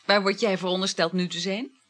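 An actress in a radio play voicing a nonchalant, questioning married woman with lovers, in a husky voice. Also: medium pitch, steady tone, brisk, a bit bright, natural voice. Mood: indifferent.

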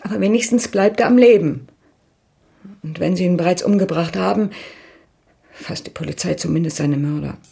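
An actress in a German radio crime drama playing a guarded, earnest young woman confessing to an investigator, husky and creaky. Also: fairly low pitch, normal speed, long pauses, dark tone. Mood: guarded, resigned.

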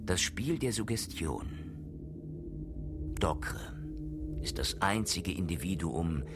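An actor playing a learned man who gravely expounds on dark occult matters in a radio play, speaking in a deliberate, erudite way. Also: deep voice, animated, background noise, exaggerated voice. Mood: grave, disapproving. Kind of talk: German radio play dialogue. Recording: music behind the voice.

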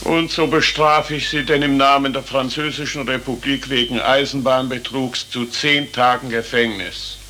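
A stern, pompous judge, acted by a man, declaims formally in a forceful, mid-pitched voice. It is old radio-play acting on a faintly hissy 1940s recording. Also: steady tone, normal speed, few pauses, natural voice. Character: stern, pompous French judge passing sentence.

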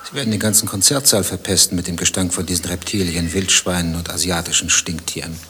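A man's voice: deep voice, steady tone, fast talker, pushed and bright, a little hiss or hum. An actor playing a contrarian, dryly philosophical zoo visitor in a radio play, pedantic and probing in his talk. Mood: needling.

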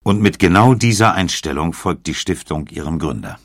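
Very deep, gravelly male narrator. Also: lively intonation, moderate pace. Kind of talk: scripted documentary narration. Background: music.

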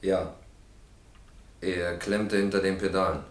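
An actor playing a guilt-ridden defendant in a German radio crime drama, halting and subdued. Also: male voice, low-pitched, fairly even intonation. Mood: evasive, reluctant. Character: guilt-ridden, evasive male defendant confessing in court. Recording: roomy, echoing radio drama studio.

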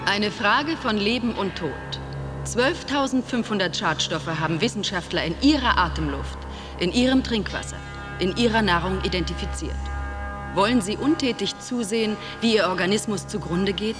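A woman plays an announcer delivering a pushy advertisement, urgent and persuasive. Her voice is mid-pitched and rides over clearly audible background music. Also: lively intonation, moderate pace, natural voice. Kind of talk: radio advertisement. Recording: music bed under voice.

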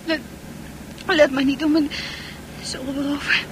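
Anxious high-voiced woman, noisy recording. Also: little pitch movement, unhurried. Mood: urgent, anxious. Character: anxious, urgent woman pleading with a man. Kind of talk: Dutch radio play acting.

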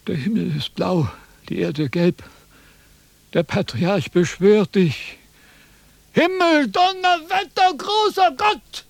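A pompous old-world philosopher in a radio drama, high in pitch and swinging widely as he declaims. He is quarrelsome and loves to lecture, and the sound is dry and close. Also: animated, takes it slowly, vocal fry, dry recording, heavily acted. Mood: solemn incantation. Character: pompous, quarrelsome male Chinese philosopher in a threadbare coat. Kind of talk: German radio play acting.

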